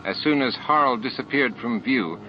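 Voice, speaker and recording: A man's voice: mid-range voice, lively intonation, normal speed. A man reading a novel aloud as a scripted audiobook narrator. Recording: dull lo-fi audiobook tape. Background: added music and sound effects.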